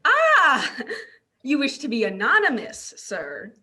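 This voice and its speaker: A woman's voice: high-pitched, wildly swooping pitch, takes it slowly, sharp tone, heavily acted. A woman playing a polite, earnest Victorian charity collector in an amateur dramatic reading, courteous and formal. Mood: polite, eager.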